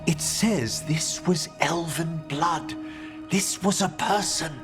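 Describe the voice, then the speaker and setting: A man's voice: on the high side, slow pace. A man playing a refined, fussy, scholarly necromancer with pompous, ornate diction in a video game. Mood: fascinated. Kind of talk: video game cutscene acting. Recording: loud music behind the voice.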